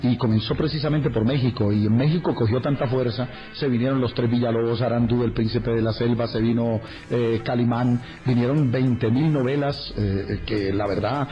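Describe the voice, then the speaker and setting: A man's voice: medium pitch, fairly even intonation, moderate pace, faint music behind. A man reminiscing enthusiastically and nostalgically in casual radio talk, on a dull lo-fi clip.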